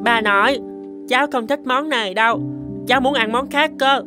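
A woman puts on a five-year-old cartoon boy, spoiled and demanding. The voice is high and whiny, over clear background music. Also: normal speed, close to an everyday voice. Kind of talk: scripted cartoon dialogue.

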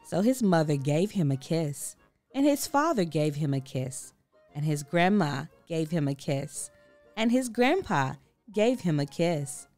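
A woman reading a children's story aloud expressively, with theatrical, deliberate emphasis. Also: sits low, very animated, a little mellow, faint music behind. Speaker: storybook reader.

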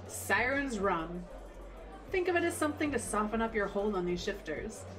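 A woman's voice: mid-range voice, very animated, normal speed, a crowd in the background, lightly acted. An actress playing a defiant young female fighter in an audio drama, dry and mocking, over music. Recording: loud music underneath.

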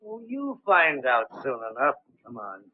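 An actor playing a gruff, sarcastic policeman with a bossy, mocking manner in a 1930s film. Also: medium pitch, huge pitch swings, unhurried. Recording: muffled old 1930s film soundtrack.

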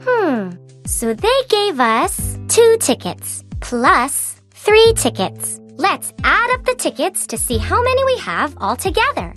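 Very high, perky little cartoon girl. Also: sing-song, takes it slowly, hardly any pauses, a bit bright, soft background music, heavily acted. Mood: encouraging, instructive. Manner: bubbly, encouraging, teacherly. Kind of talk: children's educational cartoon game.

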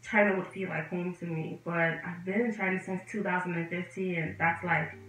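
A woman in her twenties from the Bahamas with a Bahamian accent, chatting to camera in a lively, animated vlog, gesturing as she talks. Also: on the low side, normal speed. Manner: lively and animated, gesturing with both hands, chatty and direct to viewers. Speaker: vlogger and medical student. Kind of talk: casual sit-down vlog talking to camera. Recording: echoey room, voice a bit distant, faint music behind.

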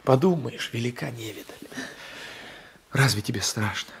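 An actor playing a passionate, vengeful gendarme and jilted lover in a radio drama, intense and insistent, often dropping to a whisper. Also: male voice, mid-range voice, moderate pace, fairly bright tone, a little hiss or hum, close to an everyday voice. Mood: fervent, coaxing. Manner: intense, insistent, repeating phrases. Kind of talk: 1980s radio drama acting.

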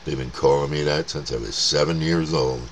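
A man's voice: a bass voice, fairly even intonation, fairly quick. An American man chatting casually as a CB radio operator, using radio jargon. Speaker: CB radio operator and channel host. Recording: faint background noise.

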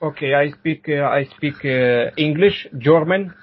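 A man, answering interview questions haltingly and repeating words and phrases. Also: a little high. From Ukraine. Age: 40s. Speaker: podcast guest.

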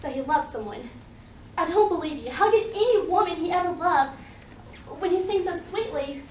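Girl acting as adoring young dancer, super high voice, echoey stage with noise. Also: animated, moderate pace. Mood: indignant. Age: young adult. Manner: earnest, pleading. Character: adoring young female dancer, earnest and romantic. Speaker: amateur stage actor. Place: indoor theatre stage. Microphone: distant audience camera. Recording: echoey noisy theatre stage from far off.

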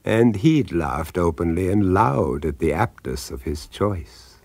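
A wry, ominous male storyteller for a radio horror tale. His low voice moves expressively through the script, recorded dry and close. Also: lively intonation, measured pace, a little mellow, husky, no echo, exaggerated voice. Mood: ironic. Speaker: narrator.

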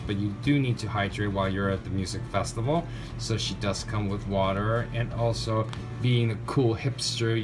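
Man, low-ish voice, gushing toy reviewer, background music clearly audible. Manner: enthusiastic, gushing, lots of "really, really". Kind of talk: casual unboxing review. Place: indoors, tabletop setup. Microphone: off-camera narration, camera on hands.

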